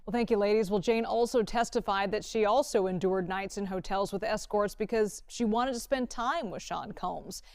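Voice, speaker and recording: A woman's voice: mid-range voice, normal speed, few pauses, darkish tone, no room sound. A woman presenting the news as an anchor.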